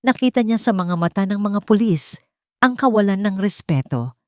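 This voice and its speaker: A woman reading scripted dramatic narration as a storyteller, with deliberate phrasing. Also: mid-range voice, animated, darkish tone, no room sound, clean studio sound.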